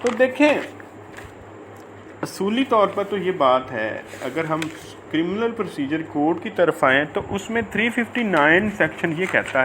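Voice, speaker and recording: A Pakistani man with a high voice lectures informally, in the manner of a law teacher. He goes haltingly, repeating phrases and switching between reading formal text and explaining it. Faint noise sits behind the homemade audio recording. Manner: halting, repeats phrases, stretches out English words when reading.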